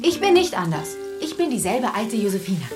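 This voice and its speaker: A woman's voice: mid-range voice, sing-song, a little fast, music in the background, close to an everyday voice. A woman playing a loyal but blunt housekeeper who asks probing, direct questions in a radio drama. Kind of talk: German radio drama acting.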